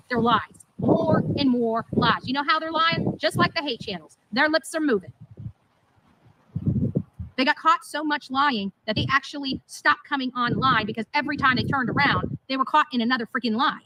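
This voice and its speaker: A woman's voice: high-pitched, moderate pace, background noise. A woman livestreamer speaking in an emphatic, indignant and repetitive way as she vents. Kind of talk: live stream monologue, venting.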